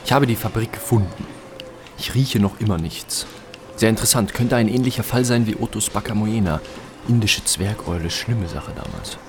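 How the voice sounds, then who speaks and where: An actor playing an eccentric, pedantic owl researcher in a radio play, precise and scholarly. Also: male voice, fairly low pitch, moderate pace, close to an everyday voice. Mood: brooding. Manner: precise, scholarly, fussy, mutters to himself.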